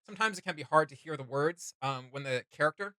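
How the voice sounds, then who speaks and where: Male reaction co-host, somewhat high and strikingly bright voice. Casual, rambling, enthusiastic fan chat. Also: quick pace, dry recording.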